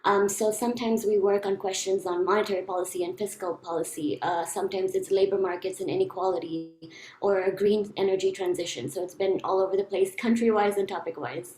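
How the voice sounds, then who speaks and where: A young woman from India introducing herself on a panel, articulate and quick, with light fillers, on a video call. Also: medium pitch, fairly even intonation, dark tone. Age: young adult. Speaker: alumni panelist, researcher. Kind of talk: casual self-introduction. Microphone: computer mic on a video call. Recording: echoey room on a call.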